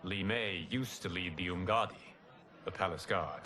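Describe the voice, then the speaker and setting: A man playing a calm, wise protector god in a video game, speaking in a formal, measured way. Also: low-pitched. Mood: calm counsel. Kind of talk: cinematic video game acting.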